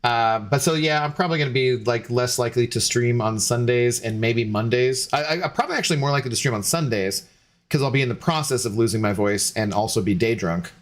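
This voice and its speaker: A man's voice: mid-range voice, quick pace. A young man, a game streamer, chatting loosely with viewers while tipsy, rambling with many fillers and restarts. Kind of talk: casual stream chat to viewers.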